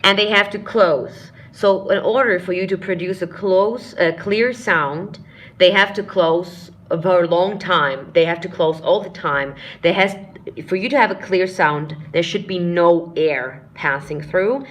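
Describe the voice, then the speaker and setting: A woman's voice: lowish voice, measured pace. A woman vocal coach giving a live-streamed singing lesson, explaining technique, answering viewer questions and demonstrating sounds herself. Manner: explanatory, demonstrates sounds and short sung phrases while teaching; addresses viewers warmly as friends and dears.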